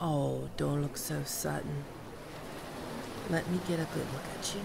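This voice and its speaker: Woman performing a female pirate captain, slow deliberate delivery, lowish gravelly voice, seductive, taunting, imperious, theatrical audio roleplay. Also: fairly even intonation, noisy background, heavily acted. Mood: menacing, appraising. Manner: theatrical, teasing, drawn-out menacing delivery with archaic turns of phrase. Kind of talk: ASMR roleplay acting.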